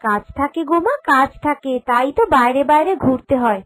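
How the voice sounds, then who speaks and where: A woman acts out a sensible young woman in a family cartoon. She speaks in a high voice with an earnest, reassuring manner. Quiet music sits underneath. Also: expressive, brisk. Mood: reassuring, explaining. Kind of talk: Bengali cartoon drama dubbing.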